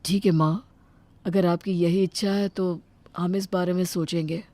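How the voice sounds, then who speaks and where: A woman voicing a young married son who speaks in a brisk, plain-spoken way in a cartoon story. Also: fairly low pitch, fairly even intonation, mellow, natural voice. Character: young married son, curt then caring. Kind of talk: cartoon dubbing.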